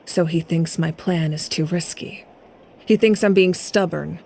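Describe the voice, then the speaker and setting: A woman playing a grave, resolute young leader in a video game, speaking in a measured, authoritative way. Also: lowish voice, vocal fry, mellow, slight background noise. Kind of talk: dramatic video game dialogue.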